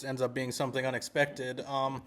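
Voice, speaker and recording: A man playing an earnest, mild-mannered association representative on a phone call, speaking politely and hesitantly with a deadpan delivery. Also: mid-range voice, a little fast, close to an everyday voice. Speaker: prank caller posing as an activist group representative. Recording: noisy phone-call recording.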